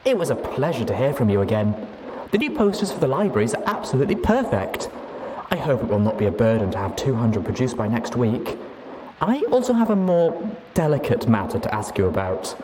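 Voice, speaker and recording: A man's voice: mid-range voice, sing-song, fairly quick, background noise, natural voice. A man voicing a polite, studious female pony sorceress reading her letter aloud, earnest and formal with hesitant asides. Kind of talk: acted audiobook letter reading.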